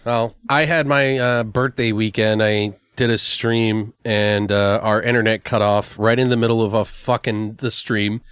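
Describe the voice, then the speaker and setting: A man's voice: fairly low pitch, little pitch movement, a little slow, few pauses, a bit bright, clean tone, dry recording, clean studio sound. A man hosting a podcast in casual chat, telling rambling stories and swearing freely. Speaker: podcast host.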